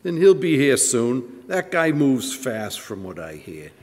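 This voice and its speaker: A man's voice: medium pitch, big pitch swings, unhurried, a little mellow. A man playing a cold, cocky gangster boss in a live radio drama, bossy, with slangy tough-guy talk. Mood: menacing, cocky. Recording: echoey live hall.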